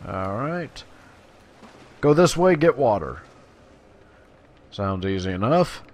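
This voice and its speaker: A man's voice: fairly low pitch, very animated, takes it slowly, darkish tone. A man giving casual, playful gameplay commentary in a chatty, slangy way, sometimes reading quest text aloud. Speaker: video game player and commentator.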